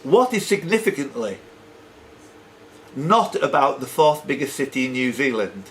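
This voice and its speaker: A man's voice: medium pitch, animated, normal speed, a bit bright. A man hosting a live quiz, reading out questions and throwing in jokey, chatty asides. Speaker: quiz host and question reader. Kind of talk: live pub-style quiz reading with off-the-cuff banter. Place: sports and social club room. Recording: noisy live room recording.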